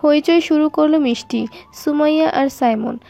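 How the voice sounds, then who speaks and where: High-pitched woman narrating a story. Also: normal speed, warm tone, slight background noise.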